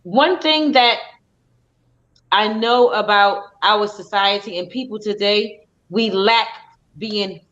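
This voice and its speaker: A woman's voice: medium pitch, a bit bright. Chatty woman on a dull lo-fi stream. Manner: chatty, quick affirmations, restarts mid-sentence. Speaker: host of a live talk stream. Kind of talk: casual live discussion. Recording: slightly dull lo-fi stream audio.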